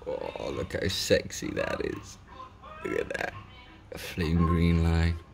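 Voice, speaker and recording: A man's voice: low voice, big pitch swings, takes it slowly, gravelly. A man giving casual, sweary, slangy unboxing commentary indoors, filming on a handheld phone. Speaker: fishing vlogger. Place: indoors at a table. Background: music.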